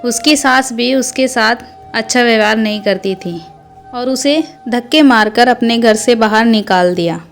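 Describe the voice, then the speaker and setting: A woman narrates a scripted cartoon moral tale in a fairly high voice. Music plays under her storybook delivery. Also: measured pace, creaky. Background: background music.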